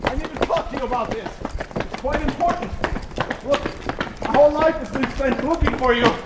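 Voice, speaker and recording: An actor playing an earnest, pestering American radio reporter, hesitant and stammering but insistent, in a mock documentary. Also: male voice, very high voice, a little fast. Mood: desperate. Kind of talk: mock-documentary field interview acting. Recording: loud outdoor noise, clicks.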